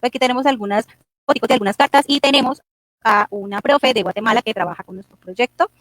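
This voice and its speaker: A woman's voice: fairly high pitch, fast, fairly bright tone, no echo. Woman presenter, dry close voice. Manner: guiding, with fillers "eh", "entonces". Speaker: forum presenter, teacher. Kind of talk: forum presentation.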